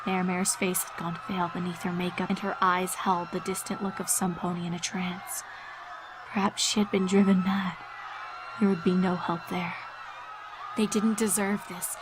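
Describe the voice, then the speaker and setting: A woman's voice: medium pitch, level tone, normal speed, background noise. A woman giving a dramatic scripted narration of a horror fan-fiction story, with music behind her.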